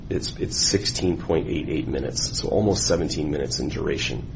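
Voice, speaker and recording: A man working as a police investigator, questioning someone in a methodical, halting and deliberate way. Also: extremely low pitch, creaky. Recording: noisy interview room recording.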